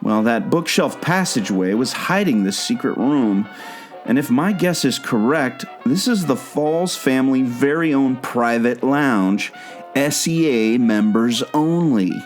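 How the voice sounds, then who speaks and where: A man's voice: medium pitch, expressive, measured pace, soft background music, lightly acted. Male actor as know-it-all adventurer, comic radio adventure; mid-range voice, explanatory, wisecracking. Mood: curious. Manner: quippy, explanatory. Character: wisecracking, knowledgeable male adventurer held captive. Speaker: podcast guest playing himself.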